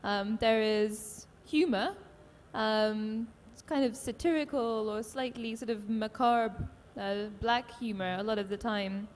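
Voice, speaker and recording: A woman moderating a literary panel, asking long, considered questions with soft fillers. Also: a little high. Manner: measured, thoughtful, soft fillers. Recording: long hall reverb, faint room noise.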